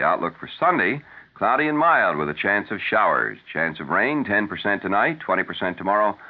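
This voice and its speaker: Tinny, low-voiced American radio newsman. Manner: formal, measured announcer delivery. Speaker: radio news anchor. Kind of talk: 1970s American radio newscast, scripted reading. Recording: narrow, tinny vintage AM radio broadcast.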